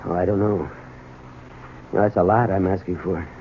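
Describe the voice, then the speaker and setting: An actor playing a bitter, desperate husband and small business owner in a halting, stammering radio drama style. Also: male voice, deep voice, steady tone, lightly acted. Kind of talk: 1970s radio drama acting. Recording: tinny, noisy old radio broadcast.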